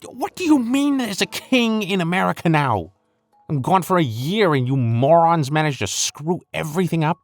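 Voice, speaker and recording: A man's voice: fairly high pitch, lively intonation, moderate pace, no room sound, soft background music. A man playing a flippant, smug secret schemer in a comic audio roleplay, stammering, dismissive and conspiratorial. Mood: exasperated, flustered. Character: flippant, flustered secret-cabal schemer, smug and easily bored.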